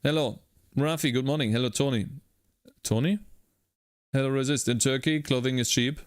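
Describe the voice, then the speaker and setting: A man's voice: medium pitch, moderate pace, smooth, dry recording. Man livestreaming code with dry sound. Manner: thinks aloud while coding, greets chat by name. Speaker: livestreaming game developer. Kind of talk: casual live coding stream chat.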